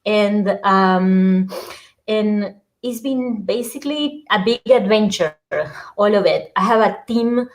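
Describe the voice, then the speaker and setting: Argentine woman chatting as a guest, lots of ums and pauses, medium pitch. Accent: Argentine Spanish. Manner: hesitant, many ums and uhs, laughs often. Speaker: guest app creator. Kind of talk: casual interview chat.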